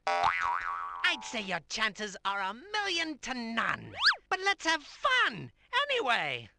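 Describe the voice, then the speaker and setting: A gloating jester boss drawls his taunts very slowly, his voice swooping wildly up and down with a gravelly edge. It comes through as old game audio with a noisy hiss behind it. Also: medium pitch, wildly swooping pitch, exaggerated voice. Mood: taunting. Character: mocking jester boss of a funhouse stage.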